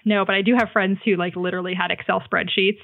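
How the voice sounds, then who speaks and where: A woman's voice: medium pitch, quick pace, creaky. A woman guest on a podcast telling personal stories in casual chat, animatedly acting out what people said, over a remote call. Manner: animated storyteller, acts out quoted lines. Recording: phone-like remote call audio.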